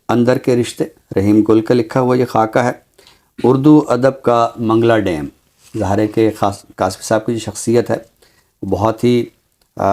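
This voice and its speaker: A man with a Pakistani accent presenting a literary book review in a measured, scholarly, deliberate way. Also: fairly low pitch, a little mellow. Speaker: literary guest presenter introducing books.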